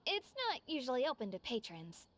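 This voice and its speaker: An earnest young female scholar-hopeful, polite, high voice. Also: very animated, fairly quick, slight background noise.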